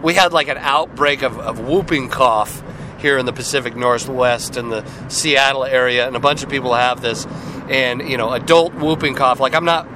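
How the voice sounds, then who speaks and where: A man hosting a podcast in a casual, rambling monologue, joking and self-deprecating, his voice raspy and hoarse as he gets over a cold. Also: mid-range voice, normal speed, background noise. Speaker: podcast host.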